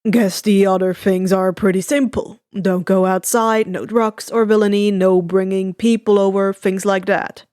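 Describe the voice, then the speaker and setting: Woman doing young villain man, lowered voice, slow, flat, unbothered, story character. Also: clean tone, no room sound, clean studio sound, close to an everyday voice. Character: laid-back scarred young male villain.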